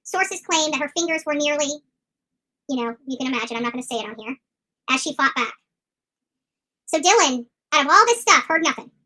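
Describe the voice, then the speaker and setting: A commentator with an extremely high-pitched voice and a sharp, bright edge. The delivery is opinionated true crime commentary, combative and emphatic, with points hammered home by repetition. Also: expressive, fairly quick, long pauses, low-quality recording. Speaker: online commentator.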